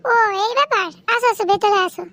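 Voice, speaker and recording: A woman with a West Bengal accent voicing a naive, coy, demanding young woman in an adult comedy cartoon, with a squeaky, exclamatory delivery. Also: quick pace, noisy background. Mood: chirpy.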